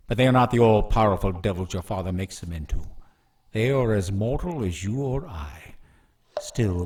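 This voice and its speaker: A man's voice: fairly low pitch, a little mellow, far from the mic. An actor playing an arrogant young prince hungry for the throne in a radio drama, imperious and menacing as he declaims.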